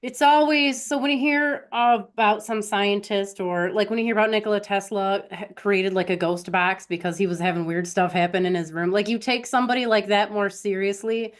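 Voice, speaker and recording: A female interviewer with an average-pitched voice. She talks casually and conversationally, phrasing things in plain everyday words as she reacts and reflects. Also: fairly even intonation, moderate pace, hardly any pauses. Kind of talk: casual chat.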